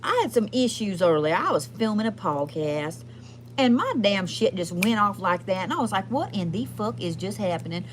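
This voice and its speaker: A woman's voice: mid-range voice, big pitch swings, moderate pace, slight background noise, close to an everyday voice. A woman playing a sassy, foul-mouthed, folksy Southern woman with a twang, chatting casually as a livestream host. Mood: gossipy, sassy. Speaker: livestream host doing readings. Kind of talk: casual livestream chat in character.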